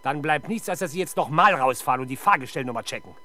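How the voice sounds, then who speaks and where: A man playing a gruff, sardonic senior police detective in a 1970s German radio crime play, brusque and commanding. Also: fairly high pitch, expressive, quick pace, pushed and bright. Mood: irritated.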